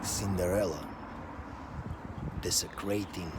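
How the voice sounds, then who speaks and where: A man telling a personal story as a deliberate, dramatic monologue in short emphatic phrases, with a scornful edge. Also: low-pitched, steady tone. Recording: loud outdoor traffic-like ambient noise.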